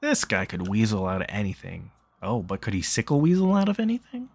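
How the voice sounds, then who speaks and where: Lower-voiced man narrating a visual novel. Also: huge pitch swings, a little fast, gravelly, breathy edge, no echo. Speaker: game player reading the text aloud. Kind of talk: narrating a visual novel aloud during play.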